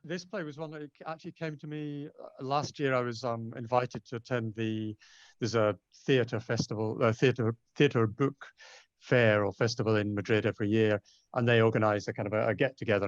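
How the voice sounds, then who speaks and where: A man's voice: fairly low pitch, no echo. Male panel guest, casual and rambling, self-correcting; dry, slightly muffled recording. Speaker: translator on a panel. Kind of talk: casual anecdotal panel talk. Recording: dull, dry lo-fi audio.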